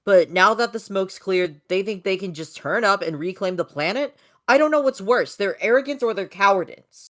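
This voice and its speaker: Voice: medium pitch, expressive, normal speed, a bit bright, voice pushed up, natural voice. An amateur reader voicing a bitter, argumentative male robot strategist in a comic dub read-through. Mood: angry, bitter. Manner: agitated, argumentative.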